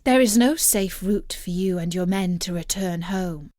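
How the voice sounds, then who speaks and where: A woman's voice: lowish voice, measured pace, dark tone, dry recording, clean studio sound, lightly acted. A woman voicing a beautiful, seductive witch from a storybook, wheedling and commanding in a theatrical way. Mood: wistful, warning. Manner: theatrical, coaxing. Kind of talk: storybook character voice.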